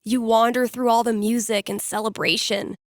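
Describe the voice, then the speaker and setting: A woman's voice: fairly high pitch, flat delivery, moderate pace, dry recording, close to an everyday voice. A woman voicing an upbeat young radio DJ in a video game, conversational and teasing, with dry humour as she talks to callers. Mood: playful storytelling. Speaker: radio DJ taking calls and telling fortunes. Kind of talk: playful radio host patter, storytelling to callers.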